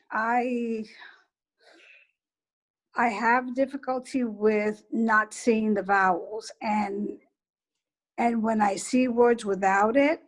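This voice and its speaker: Slow, hesitant woman student. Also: on the high side. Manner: slow, hesitant, searching for words. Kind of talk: answering in class. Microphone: computer mic on video call.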